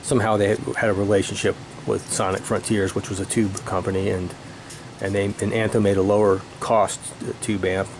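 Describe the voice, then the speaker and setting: A middle-aged man reminiscing casually as an enthusiast, speaking in halting short bursts. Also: fairly low pitch. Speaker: audio hobbyist presenting own video. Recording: steady room noise, air conditioning hum.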